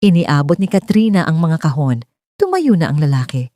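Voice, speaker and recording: A woman's voice: low-pitched, big pitch swings, normal speed, loud music behind the voice. A woman reading a scripted romance story as its narrator.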